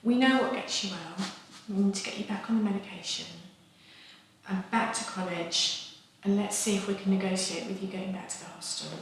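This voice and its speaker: Female actor for calm psychiatrist in a training drama: practical, reassuring, mid-pitched, heard off-mic in a boomy room. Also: brisk, lightly acted. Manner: reassuring, plain-spoken. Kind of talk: acted clinical consultation. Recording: echoey room, distant mic, faint noise.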